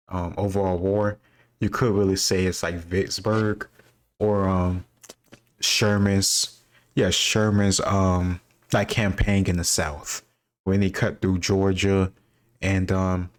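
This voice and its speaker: Casting: man with a low, flat voice, casual and rambling, reasons out loud and freely admits gaps. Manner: thinks aloud, candid about not knowing. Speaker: quiz-taker sharing his own commentary.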